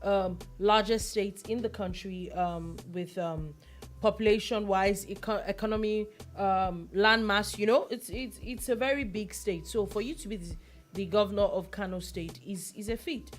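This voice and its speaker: A woman's voice: mid-range voice, normal speed. A woman who hosts a radio show, talking through the news in a chatty way with many fillers and restarts. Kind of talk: news analysis chat.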